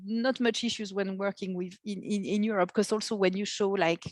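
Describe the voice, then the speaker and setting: Interview guest: woman with medium pitch, talking conversationally, recorded with no room sound. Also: fairly even intonation, moderate pace, no room sound. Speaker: podcast guest, marketing executive.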